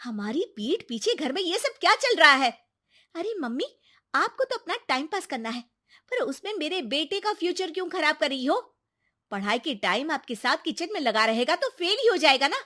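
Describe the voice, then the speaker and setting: A woman's voice: high-pitched, very animated, moderate pace, bright, forceful tone, voice pushed up, exaggerated voice. A woman voicing a suspicious, money-minded mother in a family story, scolding and accusing as she speaks. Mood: indignant.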